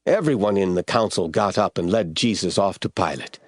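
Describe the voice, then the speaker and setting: A man narrating scripture in a radio drama, reading in a steady, measured way. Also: sits low, no room sound, clean studio sound.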